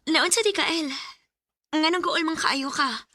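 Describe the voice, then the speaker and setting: A woman's voice: sits high, unhurried, sharp tone, no room sound, faint music behind. A woman playing an earnest, easily hurt young student in love in a sentimental radio drama, with a Filipino Bisaya accent. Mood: worried.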